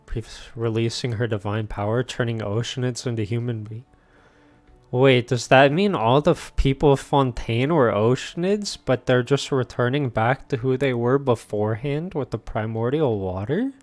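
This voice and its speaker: A man's voice: mid-range voice, moderate pace, a little mellow, faint music behind. A young man casually commentating while streaming a game, laid-back and thinking aloud. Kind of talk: casual let's-play commentary.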